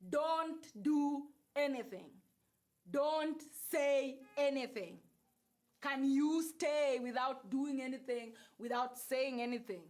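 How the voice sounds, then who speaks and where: Kenyan-accented woman with a high voice, emphatic, repetitive livestream talker. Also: slow pace, darkish tone. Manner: repetitive, emphatic, switches into Swahili and Luo phrases. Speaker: livestream host. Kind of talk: casual spiritual talk to live viewers.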